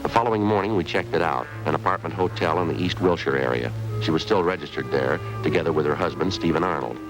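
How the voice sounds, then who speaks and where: An actor playing a terse, businesslike police detective who narrates the case in a clipped, matter-of-fact way, in an old radio drama. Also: male voice, low-pitched, fast talker, low-quality recording. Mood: flat. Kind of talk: 1950s radio drama acting. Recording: old radio broadcast with music.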